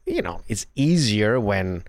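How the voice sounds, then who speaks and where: A man who works as a comedian, chatting casually in a halting, repetitive way with casual swearing. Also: on the low side, sing-song, dry recording. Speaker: comedian, podcast guest. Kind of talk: casual shop talk about stand-up.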